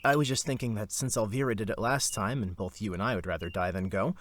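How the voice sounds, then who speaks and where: A man's voice: fairly low pitch, quick pace, fairly bright tone, a little hiss or hum, natural voice. A man playing a wry, teasing young big brother in an audio drama, sarcastic and playful. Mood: casual. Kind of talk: audio drama character acting.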